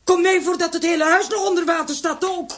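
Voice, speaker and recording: A woman's voice: very high voice, steady tone, brisk, fairly bright tone, a little hiss or hum. An actress playing a bossy, fussing, exclaiming woman in a children's radio play. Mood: alarmed, scolding.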